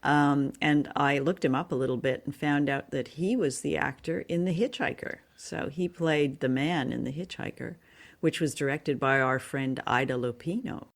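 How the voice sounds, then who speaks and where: A woman's voice: low-pitched, normal speed, vocal fry. A woman co-hosting a podcast, conversationally reviewing radio drama in slightly tentative phrasing. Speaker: podcast co-host.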